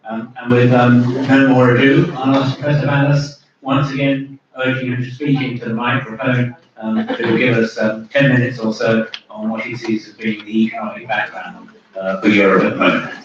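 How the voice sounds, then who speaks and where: A man's voice: medium pitch, level tone, normal speed, hardly any pauses, background noise. Man chairing a conference in an echoey hall. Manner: hesitant, many fillers. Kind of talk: opening remarks at a conference. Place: conference room. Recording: echoey hall from far off, dull sound.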